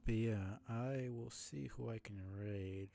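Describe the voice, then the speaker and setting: A man's voice: mid-range voice, takes it slowly, vocal fry, warm tone, slight background noise. A man livestreaming, chatting casually to his viewers in a rambling way as he thinks aloud, with music behind him. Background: music under the voice.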